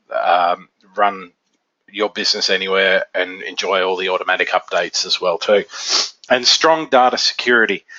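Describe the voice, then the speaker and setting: A man's voice: lowish voice, bright, forceful tone, no echo. Stuffy-nosed man presenting a webinar. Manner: casual, filler-heavy, conversational. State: stuffy nose from a cold. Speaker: software training consultant. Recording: dull, lo-fi webinar audio.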